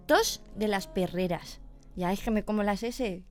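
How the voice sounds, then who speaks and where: A woman's voice: medium pitch, a little slow, darkish tone, faint music behind. A woman, a veterinarian explaining pet illnesses patiently on a radio chat, checking in with her listener as she goes. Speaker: veterinarian guest.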